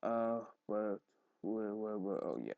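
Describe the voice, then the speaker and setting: A man hosting a casual, unscripted screen tutorial, haltingly repeating words and muttering to himself, recorded on a headphone mic at his neck. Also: fairly low pitch, creaky, dry recording, narrow, tinny audio. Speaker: tutorial host. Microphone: headphone mic held at the neck.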